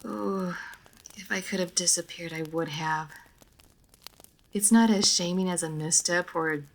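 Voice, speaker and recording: A woman playing a refined, reserved vampire noblewoman in a roleplay audio drama, speaking formally with measured diction, hesitant pauses and dry playfulness. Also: lowish voice. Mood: embarrassed. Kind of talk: roleplay audio drama, intimate monologue to listener.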